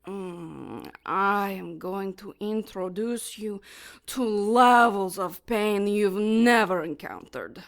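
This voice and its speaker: Slow, sadistic demon woman. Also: medium pitch, lively intonation, gravelly. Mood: cruel taunting. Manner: slow, mocking, savoring threats. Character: sadistic, taunting female demon, silky and menacing. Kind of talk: audio drama acting.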